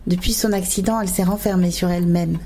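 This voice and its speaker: A woman's voice: lowish voice, fairly even intonation, fast, noisy background, natural voice. An actress playing a worried, disheartened mother of a teenager in an amateur audio drama.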